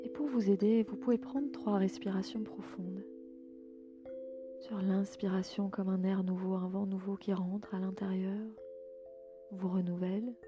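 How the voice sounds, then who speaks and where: Female relaxation guide, medium pitch, gentle inviting delivery with soft endings, music clearly underneath. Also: steady tone, a little slow. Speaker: host and yoga nidra guide. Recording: music bed behind the voice.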